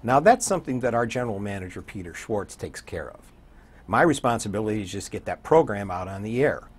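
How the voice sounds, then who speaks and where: An older man talking conversationally as an interview guest, a radio DJ with a husky voice. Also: on the low side, lively intonation, fairly quick. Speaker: guest, radio program director and morning show DJ. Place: indoor TV talk show studio.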